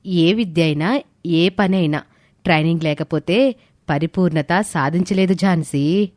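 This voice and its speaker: Woman as a patient low-voiced female teacher. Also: measured pace, a little mellow, no echo, clean studio sound, lightly acted. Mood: instructive. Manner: firm, explaining patiently. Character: Bharathi, caring, encouraging female teacher and mentor. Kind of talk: scripted audio story dialogue.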